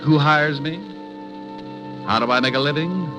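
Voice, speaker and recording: An actor playing a wisecracking, easygoing hard-boiled private detective in old-time radio style. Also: male voice, a little high, normal speed, music in the background, lightly acted. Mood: wry narration. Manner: wry, breezy wisecracks. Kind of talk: 1940s-50s radio detective narration and dialogue. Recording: old radio broadcast recording.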